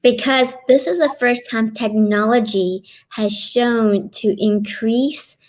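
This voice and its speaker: A woman's voice: fairly high pitch, takes it slowly. A middle-aged woman from Kentucky, a guest on a live online interview, eagerly explaining her work. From Kentucky, USA. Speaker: guest, cosmetic physician assistant.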